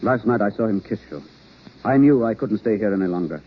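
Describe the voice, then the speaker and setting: An actor playing a self-effacing, lovesick acting teacher in a 1930s radio romance, speaking earnestly. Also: male voice, on the low side, lively intonation, brisk, slightly rough. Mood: hurt. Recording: muffled old radio recording.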